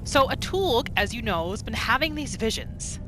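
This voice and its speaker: A woman hosting a gaming roleplay series, telling the story in short phrases with dramatic pauses. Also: fairly high pitch, big pitch swings, background noise. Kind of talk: storytelling gameplay narration.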